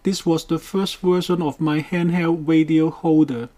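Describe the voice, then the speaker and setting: Male how-to narrator, mellow tone, unhurried and careful delivery. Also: fairly high pitch, steady tone. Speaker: DIY boat project presenter.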